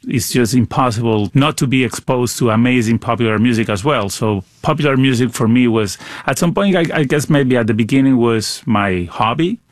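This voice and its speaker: Man, middle-aged, Venezuelan accent, medium pitch, conversational, repeats words, close dry studio sound. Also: brisk, few pauses, no room sound. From Caracas, Venezuela. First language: Spanish. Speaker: musician, interview guest. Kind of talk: conversational interview answers. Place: radio studio.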